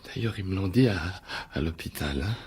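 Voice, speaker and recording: An actor playing an unstable, lovesick stage actor in a radio mystery, husky, brooding and hesitant. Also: male voice, deep voice, expressive, fairly quick, a little mellow, slight background noise. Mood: brooding confession. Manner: brooding, hesitant, repeating himself. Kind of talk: French radio mystery drama acting.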